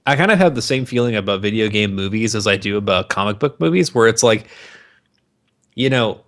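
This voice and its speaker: A man co-hosting a podcast in casual, joking banter, animated and quick to swear. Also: on the low side. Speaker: podcast co-host.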